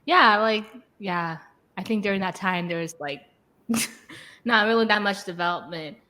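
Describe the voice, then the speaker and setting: A woman's voice: mid-range voice, a little slow, bright, forceful tone. A woman hosting a podcast, asking short, curious follow-up questions. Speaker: podcast host. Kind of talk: interview questions.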